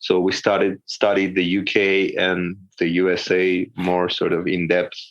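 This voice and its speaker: An Armenian-accented man talks in a casual podcast chat, hesitating, repeating words and laughing as he goes. His voice sits fairly low. The sound is a bit dull and lo-fi. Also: monotone, unhurried. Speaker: comedian guest.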